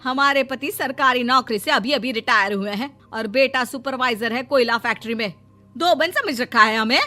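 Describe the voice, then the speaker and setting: A woman voicing a proud, quick-tempered middle-aged mother-in-law who talks in a scolding, haughty way. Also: fairly high pitch, sharp tone. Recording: faint music behind.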